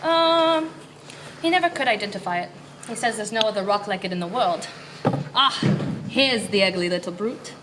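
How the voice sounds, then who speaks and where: An actress plays a guarded keeper of artifacts in a radio drama. Her delivery is hesitant and her pitch is medium. There is clear noise behind her. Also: expressive, moderate pace, close to an everyday voice. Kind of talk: radio-play dialogue. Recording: noisy live stage recording.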